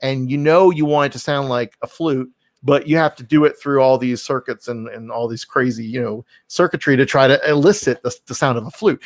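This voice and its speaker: A man hosting a casual podcast interview, talking in a halting way with repeats and rephrasing. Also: fairly high pitch, no echo, studio quality. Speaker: podcast host.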